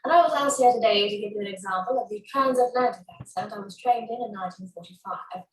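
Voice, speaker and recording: A woman in her twenties, an actress, playfully running through a rapid string of English accents in a played clip. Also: mid-range voice. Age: 20s. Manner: switches between many English accents, playful. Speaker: actress doing an accent showcase. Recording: roomy sound from a distance.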